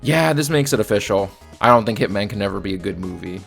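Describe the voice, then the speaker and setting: A man's voice: fairly low pitch, animated, quick pace, vocal fry. A man narrating a casual, scripted movie review in a blunt, sometimes profane way. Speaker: video reviewer. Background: faint background music.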